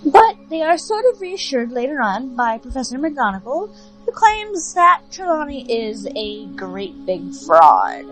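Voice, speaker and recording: A woman's voice: a little high, a bit bright, poor audio. A woman hosting a casual, chatty story recap in an informal, playful way. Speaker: host giving a recap.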